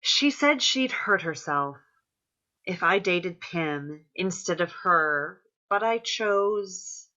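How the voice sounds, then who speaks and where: Stage reading: woman as conciliatory, uneasy defender of her friends. Slow, hesitant, mid-pitched delivery, slightly muffled sound. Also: animated, sharp tone, exaggerated voice. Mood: defensive, uneasy. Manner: hesitant, halting, placating. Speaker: actor. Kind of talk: staged play reading, naturalistic dialogue.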